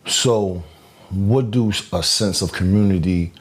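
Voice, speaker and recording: Man, podcast host, very low voice, slow, halting, lots of fillers, earnest. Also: husky. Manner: halting, many fillers and restarts, says "you know what I'm saying"; devout, earnest. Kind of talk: interview conversation.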